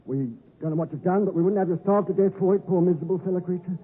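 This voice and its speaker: Kindly, simple blacksmith: slightly high voice, quick rustic patter, old radio play. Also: male voice, breathy edge. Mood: kindly, gentle. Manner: gentle, rustic, deferential. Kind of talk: 1950s radio drama acting. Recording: tinny, noisy 1950s radio broadcast.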